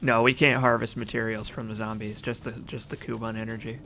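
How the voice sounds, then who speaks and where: Man, casual game stream commentary, rambling, gravelly edge, bright tone. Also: on the low side, flat delivery, a little fast, a little hiss or hum. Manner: chatty, rambling, reads chat aloud, laughs often.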